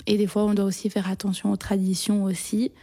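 Mellow young woman, even and hesitant. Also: mid-range voice, fairly quick. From Belgium. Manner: hesitant, searching for words. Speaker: interview guest. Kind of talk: casual, personal interview talk.

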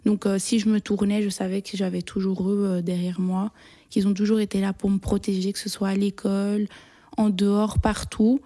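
A young woman from Belgium speaks in a soft-edged, mellow tone. Her pitch stays quite flat as she answers personal questions casually, pausing to search for words. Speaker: interview guest. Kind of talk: casual, personal interview talk.

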